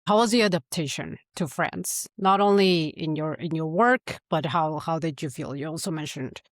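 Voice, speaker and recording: A woman's voice: deep voice, moderate pace, no echo, studio quality. A woman hosting a podcast, asking thoughtful interview questions. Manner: thoughtful, with short affirmations. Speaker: podcast host.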